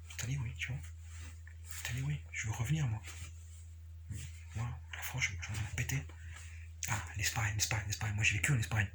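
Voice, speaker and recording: Man talking casually over background noise. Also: on the low side. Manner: repetitive and halting, often checks the listener follows. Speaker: capoeira practitioner sharing views. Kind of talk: casual, unscripted explanatory talk.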